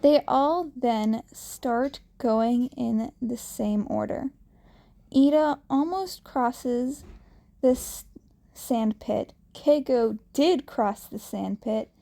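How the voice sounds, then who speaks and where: A woman reading a fanfiction story aloud, slowly and haltingly, with some restarts, and she raises her pitch when she voices the characters. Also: creaky, mellow. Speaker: fanfiction reader.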